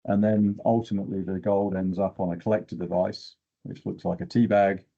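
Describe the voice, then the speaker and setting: Older male technical guest, deep and creaky, plain-spoken explainer, a little muffled on the recording. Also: level tone, brisk, mellow. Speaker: guest expert in exploration geochemistry.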